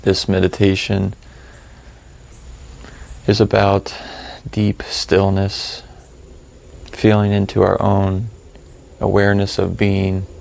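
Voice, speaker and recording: Low, gravelly man guiding a slow meditation. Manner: calm, hushed, unhurried with long pauses; informal, plain-spoken. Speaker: meditation guide. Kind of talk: slow guided meditation, unscripted and conversational.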